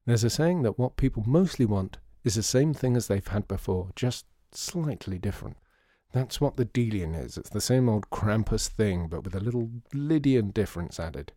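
A man voicing a brisk, confident young man who runs the shop and gives orders. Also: on the low side, animated, mellow, no room sound, clean studio sound.

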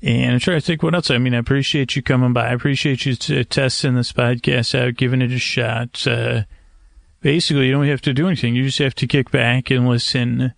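A man hosting a bedtime podcast, talking in a creaky voice with a hesitant, meandering, measured and gently self-deprecating manner. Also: medium pitch, steady tone, no room sound, studio quality. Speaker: podcast host. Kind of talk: rambling, soothing bedtime monologue to help listeners sleep. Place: screened porch at night.

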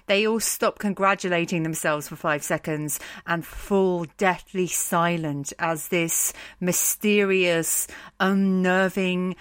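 A woman's voice: on the low side, dry recording. Woman podcaster narrating stories, takes her time, slangy n cheeky, very dry close sound. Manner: irreverent, slangy, emphatic. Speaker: podcast host. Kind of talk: informal scripted storytelling narration.

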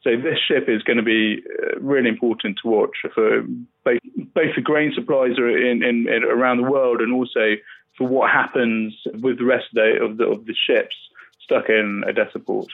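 Male reporter, mid-pitched, explaining news on a thin phone-like connection. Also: fairly even intonation, moderate pace, vocal fry. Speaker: newspaper journalist. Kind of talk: spoken news analysis on a podcast. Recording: dull, band-limited remote line.

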